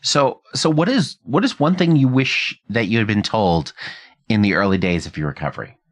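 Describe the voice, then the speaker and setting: A man's voice: fairly low pitch, expressive, moderate pace. A man hosting a podcast in a conversational interviewing and commentary style. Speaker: podcast host.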